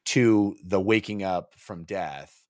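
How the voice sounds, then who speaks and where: Middle aged guy, deep voice, close dry mic, chatting about a book, kinda halting and thoughtful. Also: a little mellow, dry recording. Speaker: podcast co-host. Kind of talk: casual book discussion. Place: small home room, indoors. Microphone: close desk microphone on boom arm.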